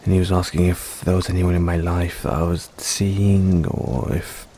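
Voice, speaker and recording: A man playing an affectionate, self-doubting middle-aged dad in an intimate audio roleplay, speaking hesitantly and tenderly with gentle teasing. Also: deep voice, fairly even intonation, normal speed. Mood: anxious, hesitant. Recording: rain-like ambience noise behind.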